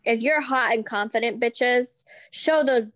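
Woman, slightly higher voice, blunt teasing banter, crude casual chat. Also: a little slow, a bit bright. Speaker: podcast co-host.

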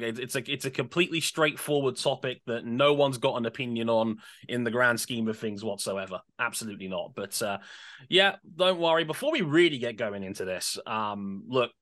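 A man's voice: medium pitch, a bit bright. A man hosting a podcast, talking casually in a wry, self-deprecating way and often repeating words as he starts. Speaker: podcast co-host. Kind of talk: casual chat.

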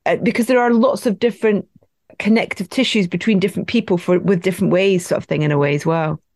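A woman's voice: lowish voice, fast, a little mellow, no echo, clean studio sound. A woman hosting a podcast interview, asking long, wandering questions in a casual way. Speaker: podcast host.

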